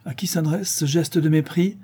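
A man voicing a pompous, excitable old ship's doctor who speaks vehemently and theatrically. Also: a little high, normal speed, slightly rough, voice pushed up. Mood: indignant. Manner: vehement, theatrical, raises his voice.

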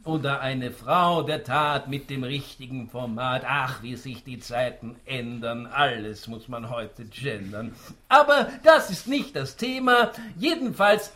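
An actor playing a smug, spry old tycoon patriarch in a rhymed radio play, theatrical and savouring each line. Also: male voice, medium pitch, lively intonation, a little slow, bright, forceful tone. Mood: grandiose, musing. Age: older.

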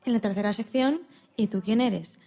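A woman's voice: mid-range voice, normal speed, mellow. A woman co-hosting a radio programme in a conversational interview style.

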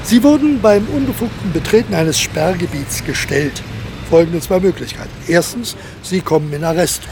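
A man's voice: high voice, moderate pace, creaky, noisy background. A man playing a cold, commanding army colonel in a radio drama, speaking in curt, authoritative orders. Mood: stern, matter-of-fact. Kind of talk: modern German radio drama acting.

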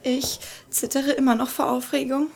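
A woman's voice: high voice, level tone, moderate pace, creaky, slight background noise. A woman playing an anxious, polite teenage boarding-school girl, somewhat hesitant, in an audio drama. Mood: anxious, shaken. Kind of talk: German audio drama acting.

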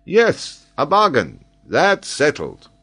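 A man voicing a proud, excitable French geographer from a storybook, pedantic and emphatic as he lectures. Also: medium pitch, measured pace, voice pushed up. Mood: proud, excited.

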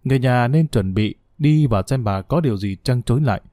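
A man's voice: mid-range voice, animated, fairly quick, warm tone, no room sound, studio quality, lightly acted. A man voicing a middle-aged hospital doctor who speaks in a formal, somber way.

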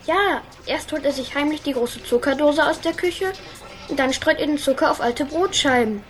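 Radio play: tattletale kid voiced by a female, high voice, insistent. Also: normal speed, soft background music, lightly acted. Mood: tattling. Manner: insistent telltale. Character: tattling, insistent child in a hospital.

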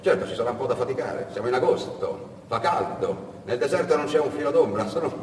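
A man's voice: medium pitch, lively intonation, fairly quick, close to an everyday voice. A man voicing a fat, brusque people smuggler, curt and bullying, in theatrical radio storytelling. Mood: smug, dismissive. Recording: echoey hall, distant mic, background noise.

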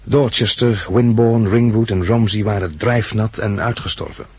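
A very husky, weary male narrator. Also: on the low side, no echo. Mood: weary, reflective. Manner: measured first-person storytelling. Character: weary lonely male survivor recounting his journey. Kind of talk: 1960s Dutch radio drama narration.

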